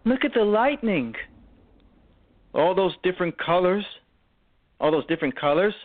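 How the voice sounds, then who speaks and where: Man playing an excitable, high-pitched young boy, tinny sound. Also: normal speed. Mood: urgent, amazed. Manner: exclaiming, stammering repeats. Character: excitable thirteen-year-old boys, frightened yet thrilled by a storm. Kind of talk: amateur radio drama acting. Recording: tinny, narrow phone-like sound.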